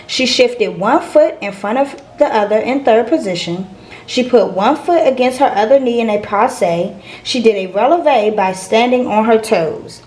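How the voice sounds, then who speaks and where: A woman's voice: a little high, animated, a little slow, a little mellow, poor audio. A woman reading a children's picture book aloud as a storyteller.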